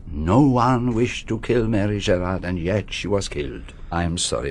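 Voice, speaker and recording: Deep-voiced, lilting Belgian detective. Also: male voice, slight background noise. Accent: Belgian French. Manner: formal, precise, deliberate phrasing. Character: shrewd, courteous foreign male private detective, probing and measured. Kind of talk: radio drama acting.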